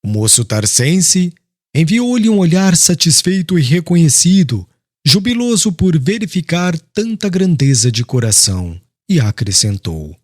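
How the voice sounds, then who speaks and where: A man reading a literary audiobook narration in a solemn, formal, expressive manner. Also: a little high, a little slow, dry recording, clean studio sound.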